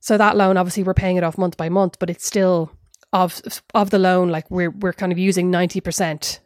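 A woman's voice: fairly low pitch, steady tone, a little fast, a little mellow, no echo, clean studio sound. An Irish woman co-hosting a podcast, chatting in a rambling, self-mocking way. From Ireland. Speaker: podcast co-host. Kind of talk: casual chat.

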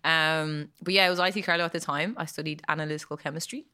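Bright low-voiced Irish woman. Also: steady tone, fast talker, clean tone. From Ireland. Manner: chatty, lots of likes and ums, laughs easily. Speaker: guest. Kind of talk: casual interview chat.

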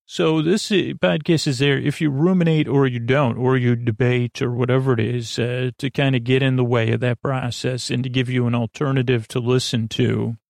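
Male sleep-podcast host, gravelly voice, dry close sound, meandering and reassuring. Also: mid-range voice, steady tone, moderate pace, hardly any pauses, darkish tone, dry recording. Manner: meandering, goofy, filler-heavy. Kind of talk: rambling bedtime monologue.

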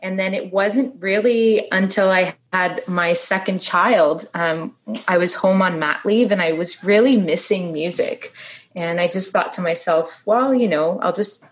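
A woman in her thirties from Canada, a singer-songwriter guest, chatting about her past with lots of ums and likes, going quieter on hard topics. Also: measured pace. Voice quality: low voice for a female singer. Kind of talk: casual interview storytelling.

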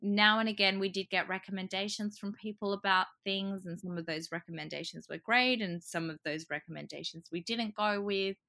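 A woman's voice: medium pitch, steady tone, a little fast, hardly any pauses, bright, forceful tone. A woman from Croatia, a podcast guest, talking chattily in an interview, laughing often and acting out what people said. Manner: chatty, laughs often, acts out quoted remarks. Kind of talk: casual interview chat.

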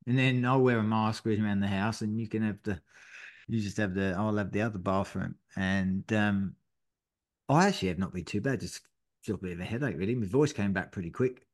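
Lower-voiced man telling stories. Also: brisk. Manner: chatty storyteller, swears casually. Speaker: podcast co-host. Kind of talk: casual chat.

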